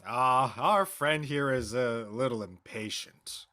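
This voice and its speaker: A man's voice: medium pitch, takes it slowly, sharp tone. A man playing an easygoing, wry teenage boy, speaking casually in a drama read.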